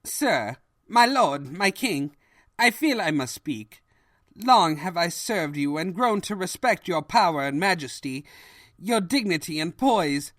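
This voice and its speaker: A woman's voice: lowish voice, animated, unhurried, no echo, clean studio sound. A woman voicing an anxious, deferential servant of an evil king, hesitant and formal, in a fan fiction audio drama. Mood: nervous pleading.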